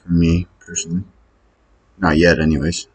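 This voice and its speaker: A man's voice: low voice, flat delivery, normal speed, darkish tone, slightly rough. A man muttering his way through casual think-aloud commentary as he plays online poker, hesitant and questioning himself. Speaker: online poker player recording own session.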